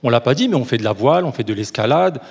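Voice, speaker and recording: An earnest man tells a moving story from the stage, stumbling on fillers now and then. His voice has a husky grain and a middle pitch. A long hall reverb trails each phrase. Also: expressive, fast talker, darkish tone. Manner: earnest, hesitant, emotional build. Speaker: association worker receiving prize.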